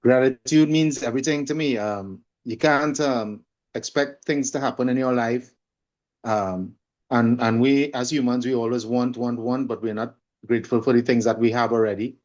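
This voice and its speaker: A man's voice: mid-range voice, steady tone, moderate pace, a little mellow. Trinidadian man, slightly muffled recording. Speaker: guest, singer and holistic coach. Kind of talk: conversational interview.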